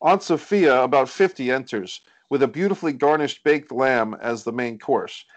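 A man's voice: medium pitch, normal speed. A man reading stage directions as scripted narration at a screenplay table read.

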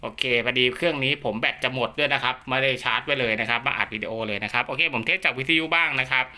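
A man's voice: mid-range voice, fast, bright, forceful tone. A man giving an informal, chatty product demonstration and explanation, talking like a technician who instructs and repeats his points. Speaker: radio equipment technician and seller giving a demo.

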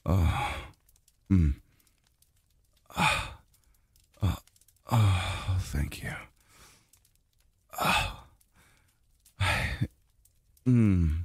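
A man playing a weary, tender immortal lover in an intimate ASMR roleplay, murmuring haltingly with sighs, close to the microphone. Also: lively intonation, no room sound, full character voice. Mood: tender, drowsy. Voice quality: very deep, gravelly, breathy. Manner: halting, murmured, sighing delivery. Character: weary, tender adult male immortal being soothed by a lover. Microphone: close dry microphone.

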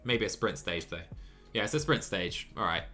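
A young man in his twenties streaming a cycling management game from a small room on a headset, chatty and excitable, laughing often while reading chat. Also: on the low side, normal speed, soft background music. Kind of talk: live gameplay commentary and chat reading.

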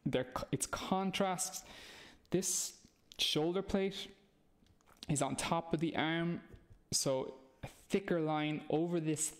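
A man's voice: a little high, steady tone, takes it slowly, long pauses. A man in his thirties with an Irish accent, a comic artist giving a chatty, encouraging live drawing lesson from home while he sketches. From Ireland. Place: small dim room at home, indoors.

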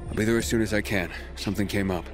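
A young male survivor hero played by an actor in a video game. His voice sits fairly low, and he talks in a terse, direct, determined way with some cockiness. Faint music runs behind him. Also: steady tone, very fast, close to an everyday voice. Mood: resolute. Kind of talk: video game dramatic acting.

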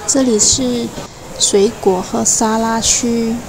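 A woman giving a casual, unhurried food tour of a hotel buffet, naming the dishes one by one. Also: on the high side, steady tone. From Malaysia. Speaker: food vlogger. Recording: restaurant noise behind the voice.

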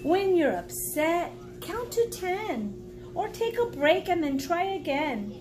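A woman in her forties reading a children's book aloud like a teacher, encouraging and pausing to explain and ask the listener questions. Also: extremely high pitch, sing-song, background noise. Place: small indoor room at home.

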